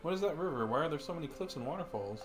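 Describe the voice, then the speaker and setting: A man livestreaming a game, reading the dialogue aloud in a halting, dramatic way. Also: a little high, lively intonation. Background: game music.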